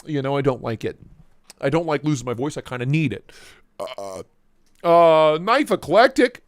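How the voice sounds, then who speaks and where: A man's voice: on the high side, very animated, normal speed, dry recording. A man hosting a casual live stream, joking around and greeting viewers by name, his voice starting to go. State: losing his voice.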